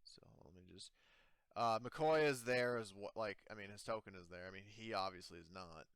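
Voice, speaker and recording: A man improvising tabletop game narration as game master in a casual, halting, self-correcting way. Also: lowish voice, a bit bright, clean tone, no room sound, clean studio sound.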